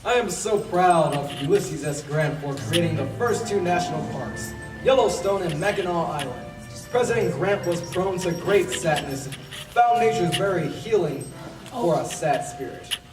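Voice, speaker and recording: A man's voice: high voice, lively intonation, moderate pace. A man playing a solemn, principled statesman, a presidential statue come to life, in an amateur radio play. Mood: solemn, proud. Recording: echoey, distant mic, music behind.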